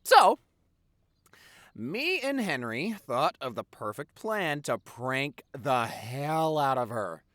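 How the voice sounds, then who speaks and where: A man's voice: medium pitch, very animated, a bit bright, no echo, studio quality. A man playing a teasing, silly, doting boyfriend who has just woken up, rambling and gushing in an intimate audio roleplay. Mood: animated storytelling. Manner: rambling, gushing, halting with repeated words.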